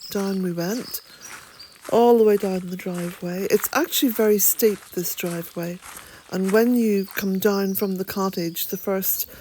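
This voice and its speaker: An Irish woman narrating a gentle garden vlog in a soft, reflective way, sometimes dropping almost to a whisper. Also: mid-range voice, steady tone, measured pace, a little hiss or hum. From Ireland. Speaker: vlogger. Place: outdoors in a woodland garden, birds nearby.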